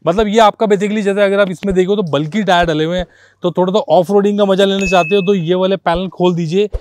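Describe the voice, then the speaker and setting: A man's voice: high-pitched, steady tone, quick pace, darkish tone. A man, giving an informal, conversational product walkaround as a car accessory store presenter, explaining things directly to viewers. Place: outdoors on a dirt lot beside trees.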